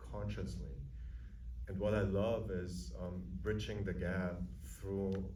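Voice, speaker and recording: A deep-voiced man answering questions on stage, slow to find his words and thoughtful. He is picked up from a distance, and background noise hangs around him in a reverberant cinema. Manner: reflective, searching for words. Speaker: actor guest at a screening Q&A. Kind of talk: unscripted Q&A answers. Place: cinema auditorium. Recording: echoey room, mic heard from afar, noisy.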